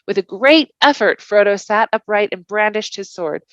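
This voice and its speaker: A woman reading a novel aloud as scripted bedtime narration, with deliberate, expressive, dramatic phrasing. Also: medium pitch, no echo, highs cut off. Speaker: volunteer storybook reader.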